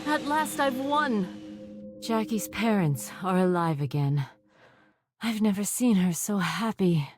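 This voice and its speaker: An actress playing an earnest, determined detective heroine in a video game, thinking aloud. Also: female voice, mid-range voice, animated, slow, a little mellow, dry recording, studio quality, faint music behind. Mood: relieved, weary. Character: determined adult female detective heroine, reflective. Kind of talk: video game protagonist monologue.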